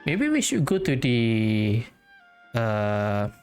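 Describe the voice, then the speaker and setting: A woman's voice: rumbling low, sing-song, drawn-out words, a little mellow, soft background music. A woman gamer giving casual playthrough commentary in brief, chatty asides. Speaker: gamer playing along.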